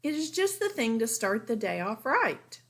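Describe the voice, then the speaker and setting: A woman voicing a practical, caring mother in a storybook, gently instructing her family. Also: on the high side, very animated, moderate pace.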